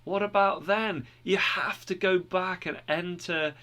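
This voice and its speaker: Guy doing a earnest pilgrim, urgent and pleading, a bit higher than his own voice. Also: fairly even intonation, unhurried, bright, forceful tone.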